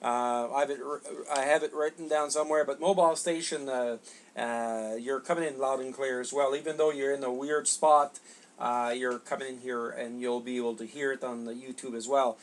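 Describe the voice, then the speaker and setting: A middle-aged man making casual ham radio contact, halting and repeating words as he goes. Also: mid-range voice, steady tone. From Canada. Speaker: amateur radio operator. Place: small home radio room, indoors.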